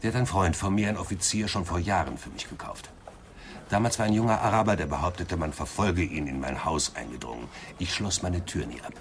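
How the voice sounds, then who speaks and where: An actor playing a vain, defensive older psychoanalyst under interrogation in a radio crime drama, testy and sardonic. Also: male voice, low-pitched, fairly quick, slight background noise. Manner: testy, self-important, sardonic.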